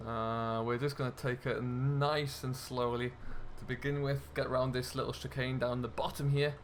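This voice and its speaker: A man's voice: mid-range voice, level tone, moderate pace, a little hiss or hum. A man hosting a gaming video, giving casual, chatty role-play commentary and talking himself through the driving as he plays.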